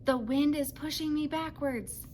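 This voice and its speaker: A woman voicing an eager, wide-eyed young gosling in a squeaky voice for a children's storybook. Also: unhurried, a little mellow, a little hiss or hum. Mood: excited. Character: excitable little boy gosling learning to fly.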